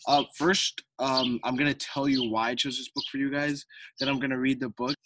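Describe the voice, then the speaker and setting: A man's voice: medium pitch, little pitch movement, a little fast, pushed and bright, slight background noise, poor audio. A teenage boy from Colombia whose first language is Spanish, reading a picture book aloud to children with many hesitant ums. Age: teens. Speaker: student reader.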